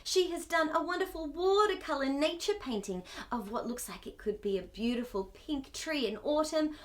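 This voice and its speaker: A woman's voice: high-pitched, normal speed. A woman hosting a children's storytime, gushing and exclamatory as she speaks directly to young children.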